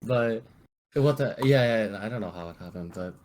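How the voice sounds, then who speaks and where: Young Bay Area guy, very deep voice, rambling, faint background hiss. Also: expressive, moderate pace, darkish tone. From San Francisco Bay Area. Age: young adult. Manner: rambling, heavy use of "like". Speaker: guest, EMT. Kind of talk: casual podcast chat.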